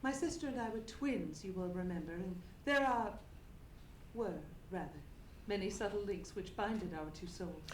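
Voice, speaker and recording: A woman's voice: medium pitch, moderate pace, natural voice. A woman playing a frightened, distraught young Englishwoman who tells her story formally and dramatically in an old-time radio mystery. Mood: uneasy. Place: theatre stage, live radio play performance. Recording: echoey live theatre, faint noise.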